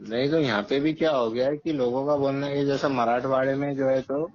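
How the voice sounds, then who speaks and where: A man's voice: mid-range voice, steady tone, a little fast, a little mellow. A man with a Marathwada Dakhni accent asking questions informally over a recorded phone call, in a hesitant, roundabout, probing way. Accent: Marathwada Dakhni Hindi-Urdu. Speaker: caller questioning the candidate.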